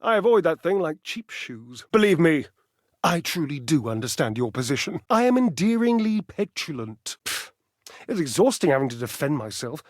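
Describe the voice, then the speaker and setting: A male actor voicing a vain, lovesick washing machine in a video game, grandiose, flirtatious and petulant, with theatrical pauses. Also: a little high, very animated, no room sound, studio quality. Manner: grandiose, flirtatious, melodramatic delivery with dramatic pauses. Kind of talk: video game character voice acting.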